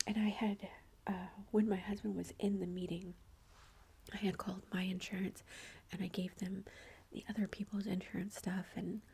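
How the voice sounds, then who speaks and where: Woman, thirties, whispered chatty storytelling, flat delivery, faint background hiss. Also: mid-range voice, moderate pace, vocal fry. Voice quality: whispering throughout. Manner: chatty, many fillers like "like". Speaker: vlogger.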